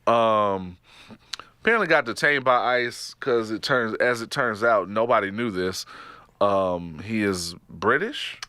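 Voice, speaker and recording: A man's voice: fairly low pitch, a little slow, clean tone, no room sound. A man from Texas with a Texas accent, co-hosting a podcast in casual, joking chat that often breaks into laughter. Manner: animated, joking, laughs often, trails off and restarts. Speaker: podcast co-host.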